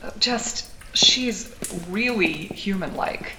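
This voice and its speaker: A woman's voice: medium pitch, animated, takes it slowly, sharp tone, full character voice. A woman playing a tough, inquisitive character in a cyberpunk audio drama, talking in a wry, teasing, sardonic way. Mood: teasing, thoughtful. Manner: sardonic, probing, playful. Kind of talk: naturalistic audio drama acting. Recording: echoey sewer-like space, background noise.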